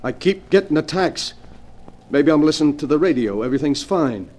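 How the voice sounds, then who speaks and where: A man's voice: on the high side, animated, fairly quick, a little mellow, lightly acted. A man acting a desperate, tormented gangster in 1940s radio-drama style, stammering and panicky.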